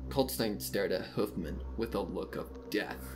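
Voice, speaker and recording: A man reading a story aloud as dramatic scripted narration, with soft music behind him. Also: deep voice, animated, unhurried, gravelly. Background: soft background music.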